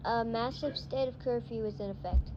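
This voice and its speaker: A woman reading a scripted emergency announcement in an amateur, halting way, stumbling over the words. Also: a little high. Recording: dull lo-fi audio with crowd noise.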